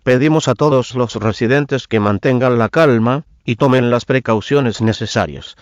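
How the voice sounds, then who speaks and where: Man, medium pitch, emergency broadcast announcer, formal scripted reading, muffled lo-fi recording. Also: brisk, no room sound.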